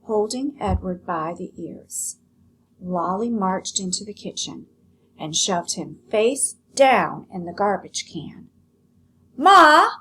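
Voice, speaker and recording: A woman teacher giving a read-aloud lesson, explaining and narrating a children's story in an expressive, instructive way. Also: on the low side, slow, slightly rough, low-quality recording.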